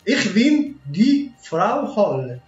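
Female performer as magical storybook old woman, stern and kindly, extremely slow delivery, echoey with music behind. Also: mid-range voice, big pitch swings, darkish tone, lo-fi sound. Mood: inviting, instructing. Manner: slow, drawn-out, deliberate.

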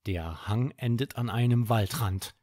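Guy reading a kids bedtime story, unhurried n expressive, close dry sound. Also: on the low side, dry recording. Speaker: children's podcast host and storyteller. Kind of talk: children's bedtime story narration.

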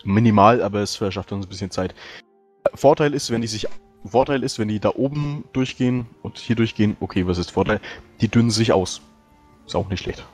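A man playing a tabletop game, casually talking tactics with quick agreements and restarts. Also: fairly low pitch, soft background music. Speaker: tabletop player. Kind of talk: casual strategy discussion.

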